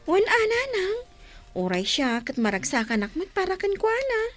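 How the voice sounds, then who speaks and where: An actress with an Ilocano accent playing a cheerful young sister in a radio drama. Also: female voice, very high-pitched, sing-song, moderate pace, soft background music. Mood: happy.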